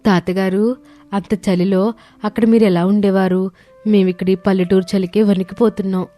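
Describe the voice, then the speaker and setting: A woman's voice: mid-range voice, little pitch movement, moderate pace, mellow, faint music behind, close to an everyday voice. A woman voicing a young village daughter-in-law, respectful and earnest, in a moral story. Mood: curious.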